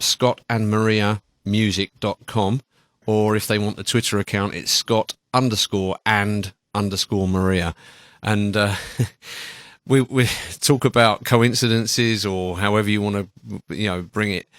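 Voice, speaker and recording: A man hosts a radio show in a low voice. He chats casually and enthusiastically, rambling as he goes. The studio sound is close and dry. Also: steady tone, a little slow, a bit bright, no room sound.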